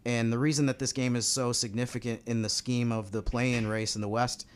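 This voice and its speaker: A man's voice: mid-range voice, flat delivery, fairly quick, clear, resonant tone. An American man speaking as a sports podcast analyst in a casual, conversational style. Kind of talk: casual basketball talk.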